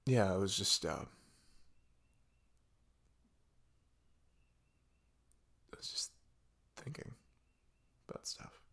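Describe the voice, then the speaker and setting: A man acting a husky, halting, downcast boyfriend. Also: deep voice, lively intonation, a bit bright, heavily acted. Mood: downcast, evasive. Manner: halting, hesitant, sighing and trailing off. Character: depressed, hesitant boyfriend opening up to his partner. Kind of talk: intimate ASMR roleplay.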